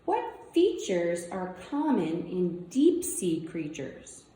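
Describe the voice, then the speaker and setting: Female read-aloud presenter for kids' nonfiction, mellow and unhurried, simple wondering asides, roomy echoing sound. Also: lowish voice, big pitch swings. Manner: simple child-directed phrasing, wondering aloud. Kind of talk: reading a children's nonfiction book aloud with casual asides.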